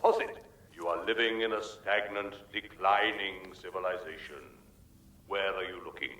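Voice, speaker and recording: An actor playing a hectoring teaching machine, declaiming theatrically and emphatically in a comic radio drama. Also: male voice, low-pitched, fairly even intonation, normal speed, sharp tone, reverberant, slight background noise. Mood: stern, brisk.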